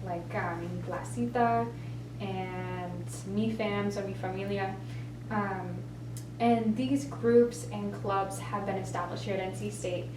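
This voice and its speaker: A young American woman answering a mock interview question in a reflective, thoughtful way. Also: mid-range voice. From United States. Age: young adult. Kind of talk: prepared answers in a practice interview. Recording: echoey room, far from mic, humming noise.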